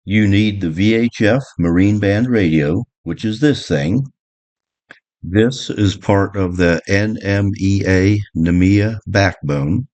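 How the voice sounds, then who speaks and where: A man's voice: lowish voice, a little mellow. A man presenting a boat electronics guide in an instructional, explanatory narration.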